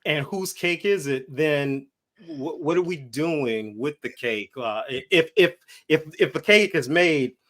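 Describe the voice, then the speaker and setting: A man's voice: a little high, unhurried, fairly bright tone. A man hosting a casual online conversation, talking in a friendly way and often repeating words. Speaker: host.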